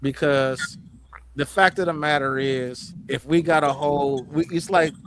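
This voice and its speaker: A man's voice: a little high, steady tone, a little slow, a little hiss or hum. A man hosting a casual panel talk, reasoning aloud and checking that others follow him. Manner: conversational, reasoning aloud, seeks agreement. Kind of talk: casual debate.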